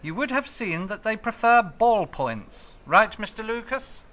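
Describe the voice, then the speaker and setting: A man's voice: high voice, very animated, a little slow, bright, forceful tone, exaggerated voice. A man with an English accent playing a pompous, officious department store floorwalker in a radio sitcom. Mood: officious, stern. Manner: pompous, formal. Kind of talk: broad radio sitcom acting. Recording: amateur radio play, clear background noise, clicks.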